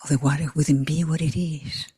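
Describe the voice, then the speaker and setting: A woman guides a group meditation in a low, very husky and breathy voice that hovers near a whisper. She moves slowly, leaving long silences between short phrases. The sound is close and dry. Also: fairly even intonation, dark tone, no room sound. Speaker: meditation teacher.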